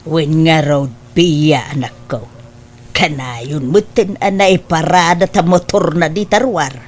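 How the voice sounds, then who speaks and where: A woman playing a caring older mother in an Ilocano family drama, speaking gently in an Ilocano accent. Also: low voice, slow. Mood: caring, advising. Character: caring older mother counselling her grown son. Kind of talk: Ilocano radio-style family drama acting.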